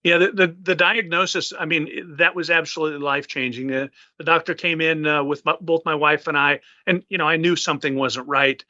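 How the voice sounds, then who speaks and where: A man's voice: a little high, steady tone, fairly quick, few pauses, pushed and bright, no room sound, highs cut off. A man in his fifties, a retired naval officer, giving an interview and recounting events with many fillers and restarts. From United States. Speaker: guest.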